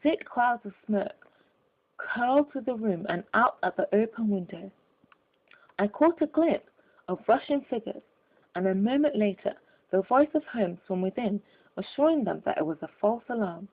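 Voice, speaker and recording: Casting: female audiobook narrator, medium pitch, husky tone, measured formal delivery of classic fiction, narrow telephone-like recording. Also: animated. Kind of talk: scripted literary narration.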